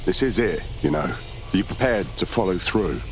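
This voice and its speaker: A man playing a steady, consoling resistance operative in a video game, reassuring and understated. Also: low voice, normal speed, slight background noise. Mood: solemn. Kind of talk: scripted video game voice acting.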